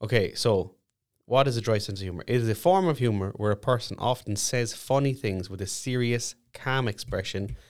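A man of twenty-eight with an Irish accent and a slightly low voice. He co-hosts a podcast in loose, casual banter, dry and quick-witted, with plenty of swearing. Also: darkish tone. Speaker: podcast co-host. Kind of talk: casual comic chat.

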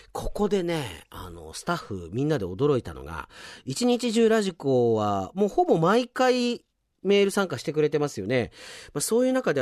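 A man's voice: mid-range voice, a little mellow, no echo, clean studio sound. A man hosting a radio show, chattily reading out listener submissions and commenting on them casually. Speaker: radio show host and announcer.

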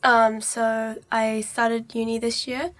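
A woman's voice: on the high side, little pitch movement, takes it slowly. A nineteen-year-old woman, chatting informally and tentatively in an interview. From New Zealand, born in Nepal. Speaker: podcast guest, university student. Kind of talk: casual interview chat.

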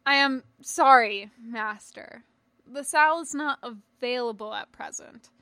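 A woman's voice: fairly high pitch, bright, forceful tone, dry recording. A woman voicing a principled, resolute male knight and teacher in an audiobook, speaking formally and firmly. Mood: stiffly polite. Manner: formal, measured, firm.

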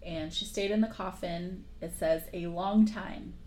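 A woman host casually retelling a dark fairy tale in an informal, slangy way, with joking asides. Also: fairly low pitch, steady tone, measured pace. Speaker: podcast host. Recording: roomy echo with faint background noise.

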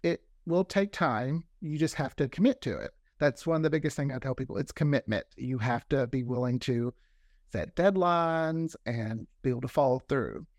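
A man's voice: medium pitch, normal speed, dry recording, clean studio sound. A man chatting casually as a podcast guest, enthusiastic and talkative. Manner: enthusiastic, chatty, often quoting imagined dialogue. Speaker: podcast creator, interview guest. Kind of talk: casual chat.

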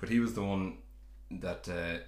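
A man's voice: deep voice, fairly even intonation, a little slow, reverberant, slight background noise. A man hosting a podcast, chatting casually about a sitcom in a rambling, self-correcting way. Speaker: podcast host.

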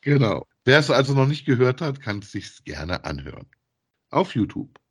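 A man co-hosting a casual podcast, speaking in a halting, deliberate way. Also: mid-range voice, sing-song, slightly rough. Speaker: podcast co-host.

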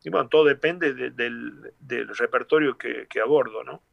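Middle-aged Argentine man, Tucumán accent, gravelly, dry close sound, halting delivery. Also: mid-range voice, no room sound, muffled recording. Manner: hesitant, many fillers and restarts. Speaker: singer and former rugby player, guest.

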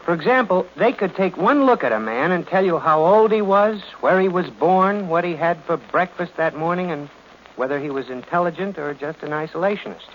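An actor playing a glib, wry detective's assistant who narrates and needles in old radio drama. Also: male voice, on the high side, expressive, normal speed, a bit bright. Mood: needling. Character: breezy, wisecracking male assistant and narrator of detective. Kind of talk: 1940s radio acting. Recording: muffled old radio recording, faint noise.

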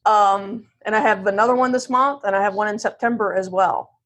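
A woman's voice: medium pitch, steady tone, fairly quick, fairly bright tone. A woman with a Southern US accent chatting candidly and self-deprecatingly as a podcast guest, often acting out quotes of herself and others. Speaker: podcast guest, divorce lawyer. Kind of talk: casual conversational interview.

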